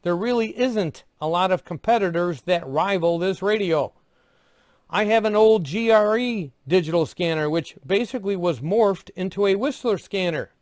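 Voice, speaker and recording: A man with a notably high voice narrates a product review, recorded very dry with no room sound. His delivery is scripted yet conversational. He keeps to plain, clipped phrases and slips in dry asides. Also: normal speed, no room sound.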